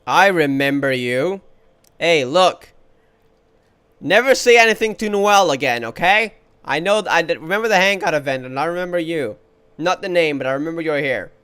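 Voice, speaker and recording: Clear-voiced man doing casual game commentary. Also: a little high, lively intonation, moderate pace, fairly bright tone. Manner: teasing, talks back to the game characters. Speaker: streamer. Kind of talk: casual playthrough commentary, reacting aloud and chatting with viewers.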